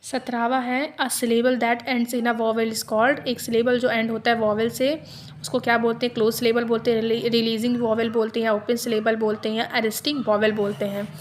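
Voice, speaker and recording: A woman teacher going through exam multiple-choice questions, reading each one out slowly and then explaining it. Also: on the high side, little pitch movement, a little hiss or hum.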